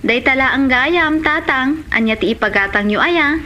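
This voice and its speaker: A woman's voice: high-pitched, animated, normal speed, sharp tone. A woman acting a helpful, generous grown daughter in an Ilocano comedy drama. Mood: obliging. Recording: dull lo-fi sound, faint noise.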